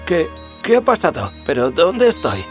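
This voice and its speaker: A man's voice: fairly high pitch, huge pitch swings, moderate pace, faint music behind, pitched above the performer's own voice. A man voicing a good-natured, modest, overlooked young prince in a cartoon fairy tale, earnest and a little cheeky. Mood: confused.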